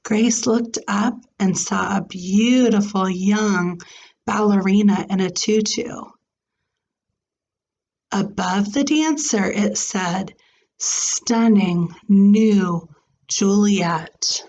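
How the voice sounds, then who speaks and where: Slow-paced woman reading a storybook. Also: mid-range voice, darkish tone, dull audio. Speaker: teacher reading aloud. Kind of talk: storybook read-aloud with questions to children.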